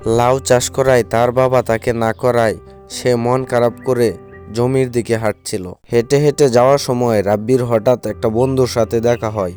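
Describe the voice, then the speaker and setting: A man's voice: medium pitch, steady tone, moderate pace, a little mellow. A man reading scripted storybook narration for a cartoon. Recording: faint music behind the voice.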